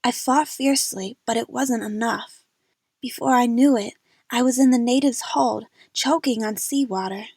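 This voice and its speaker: Husky woman narrating a dramatic story. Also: fairly high pitch, normal speed, darkish tone, dry recording. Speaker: narrator in amateur audio drama.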